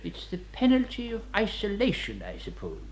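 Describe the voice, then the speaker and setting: An actor playing an educated, self-important senior prison official in a radio play, with a fussy, superior manner. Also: male voice, high voice, wildly swooping pitch, moderate pace, exaggerated voice, poor audio. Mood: condescending.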